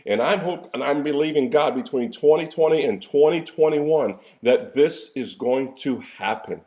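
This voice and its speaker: A man's voice: a little high, normal speed, darkish tone. A man in his sixties, a coach and pastor, giving a casual motivational talk with folksy, preacherly asides and an occasional cough. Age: 60s. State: coughing now and then.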